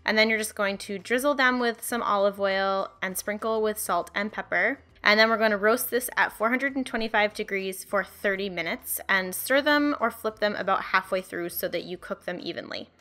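A woman's voice: medium pitch, moderate pace, few pauses, sharp tone. A young woman in her twenties, a cooking channel host talking viewers through recipes in a friendly, chatty way from her home kitchen. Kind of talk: upbeat recipe walkthrough.

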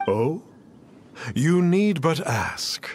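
A man's voice: on the high side, sing-song, extremely slow, noisy background, full character voice. A male actor playing a pompous self-styled holy master in a video game, speaking in archaic, formal phrases. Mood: grandly patient.

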